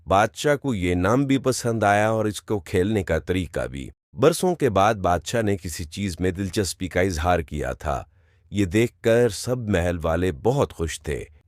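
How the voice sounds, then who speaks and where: Deep-voiced male storyteller, expressive. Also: no echo, studio quality. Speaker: storyteller, narrator. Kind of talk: scripted storybook narration in Urdu.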